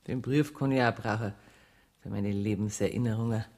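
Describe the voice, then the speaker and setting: An actor playing a self-regarding imprisoned banker in a radio play, speaking with a Bavarian accent. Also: male voice, fairly low pitch, steady tone, moderate pace, darkish tone, close to an everyday voice. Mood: touched, self-satisfied. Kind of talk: radio play acting in dialect. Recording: long hollow reverb.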